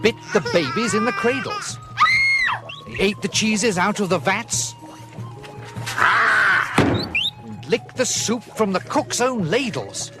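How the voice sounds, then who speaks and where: A man playing a theatrical storyteller who recites a rhyming tale in measured, expressive verse. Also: sits high, raised voice, loud music behind the voice, exaggerated voice. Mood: relishing, dramatic. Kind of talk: dramatic verse narration.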